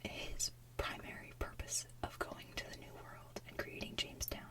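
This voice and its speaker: A woman hosting ASMR in a hushed, breathy, playful way, moving between whispered chat and soft singing. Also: normal speed. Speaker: ASMR channel host.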